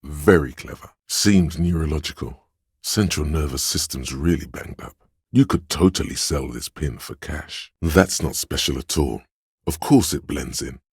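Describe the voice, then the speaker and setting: Very deep, smooth suave game narrator. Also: male voice, unhurried, no echo, studio quality, heavily acted. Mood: cool, deliberate. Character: suave, wry male inner voice of a detective. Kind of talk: second-person game narration.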